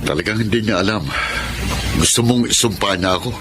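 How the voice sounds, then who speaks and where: A man's voice: fairly low pitch, fairly quick, over loud music, lightly acted. A man playing a hard-up snatcher who provides for his family, in a Filipino radio drama. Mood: streetwise.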